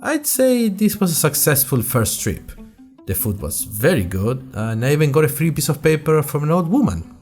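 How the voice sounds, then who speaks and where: A man's voice: on the high side, sing-song, normal speed, a little mellow, soft background music. A man playing an earnest young college student who tells his story in a wry, conversational way, as host of an audio drama diary. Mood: upbeat. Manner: conversational, wry, addresses listeners directly. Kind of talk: scripted first-person audio drama narration.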